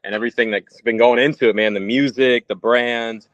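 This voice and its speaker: A man's voice: medium pitch, fairly even intonation, fairly quick, dry recording. A man in his thirties, a musician guest talking casually in a podcast interview. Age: 30s. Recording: slightly dull, lo-fi audio.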